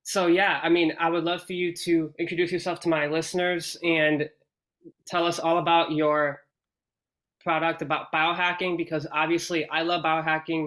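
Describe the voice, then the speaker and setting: A man's voice: fairly high pitch, a bit bright. A man hosting a podcast, introducing his guest to listeners in an upbeat, enthusiastic way. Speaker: podcast host.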